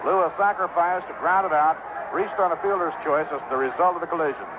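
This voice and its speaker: High-pitched American baseball announcer, noisy old radio. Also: male voice, a little fast, highs cut off. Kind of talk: 1960s radio sports commentary. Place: outdoor ballpark broadcast position. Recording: thin, very noisy vintage radio broadcast. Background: heavy noise and stadium ambience.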